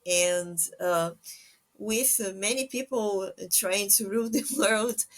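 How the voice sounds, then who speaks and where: Woman hosting a podcast, talks slow n halting, searching for words, average pitch. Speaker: podcast host and interviewer. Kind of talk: casual interview hosting.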